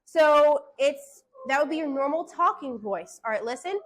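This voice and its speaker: A woman voice teacher giving classroom singing instruction, hushing her students and checking in with "okay?" after nearly every point. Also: high-pitched, animated, normal speed.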